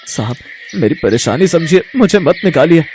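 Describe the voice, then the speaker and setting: A man's voice: on the high side, big pitch swings, normal speed, a little mellow. Man playing poor labourer father, helpless, sorrowful, pleading, faint background noise, storybook.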